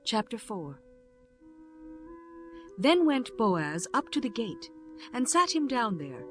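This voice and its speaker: A woman narrating a scripture audiobook in a measured, formal way. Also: a little high, sing-song, soft background music.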